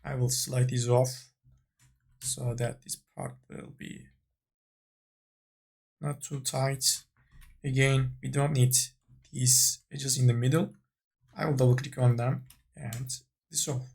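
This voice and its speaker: Male tutorial narrator, slow and gravelly, unscripted, thinking aloud step by step. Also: mid-range voice, fairly even intonation, a little mellow. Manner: tentative, thinking aloud while working. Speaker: 3D modeling tutorial instructor.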